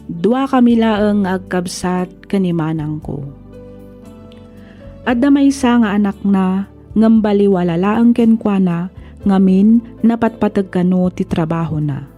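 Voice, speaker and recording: A woman's voice: mid-range voice, measured pace, pauses often, warm tone, soft background music. A woman with an Ilocano accent hosting and narrating a radio drama in a scripted, dramatic style.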